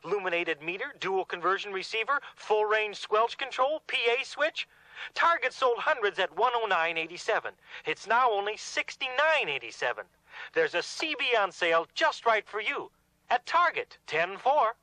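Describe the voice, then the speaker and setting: A man delivering a 1970s retail commercial pitch as an announcer. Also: very animated, normal speed, bright, forceful tone, no echo, low-quality recording.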